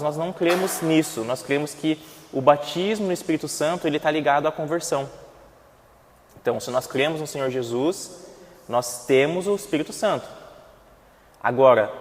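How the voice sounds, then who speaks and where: A man's voice: a little high, darkish tone, a little hiss or hum. A man with a Brazilian Portuguese accent teaching a Sunday school Bible class in a conversational way, with frequent fillers and restarts. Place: church hall. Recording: church hall with long reverb.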